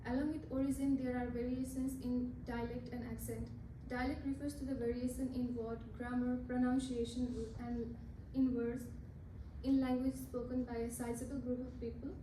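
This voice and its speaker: Young woman, Nepali first language, class speech, hesitant restarts, high even pitch, echoey room. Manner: halting, with restarts and self-corrections. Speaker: student speaker. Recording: roomy, from a distance, with noise.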